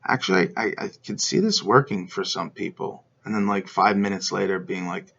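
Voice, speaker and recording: A man's voice: low-pitched, normal speed, creaky, fairly bright tone, slightly rough, dull audio. A man telling a story in a rambling, laid-back way over a call. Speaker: guest. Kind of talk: casual chat.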